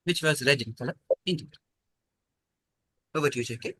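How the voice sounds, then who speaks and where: A man's voice: medium pitch, brisk, sharp tone, slightly rough, no room sound, studio quality. A man, acting as quiz master and reading out questions in an online quiz.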